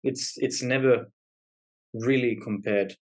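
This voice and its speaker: A man's voice: medium pitch, steady tone, takes it slowly, darkish tone. A young adult man, a guest academic in a podcast interview, explaining ideas reflectively with light laughs.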